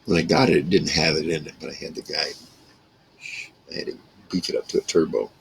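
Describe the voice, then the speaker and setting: A man in his sixties with a rough, gravelly voice, rambling casually to camera in halting phrases. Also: rumbling low, steady tone, low-quality recording. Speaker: vlogger reminiscing about a radio hobby. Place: small wood-paneled room indoors.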